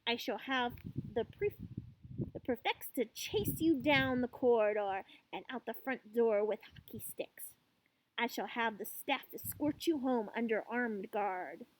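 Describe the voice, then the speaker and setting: A woman's voice: high-pitched, very animated, unhurried, no echo, poor audio. A woman voicing a furious, tyrannical headmistress who loathes children, haranguing and menacing, in a storybook reading. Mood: furious tirade. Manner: haranguing, barking, grandly menacing.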